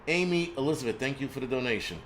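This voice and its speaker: A man's voice: medium pitch, lively intonation, fast, background noise. A man, hosting a casual live stream in a blunt, profane, rambling rant. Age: 40s. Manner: rambling with frequent restarts. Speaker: host.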